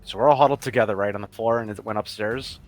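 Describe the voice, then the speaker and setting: A man's voice: lowish voice, fast talker, faint music behind. A man playing a tabletop roleplaying game, chatting casually and asking questions in a conversational way.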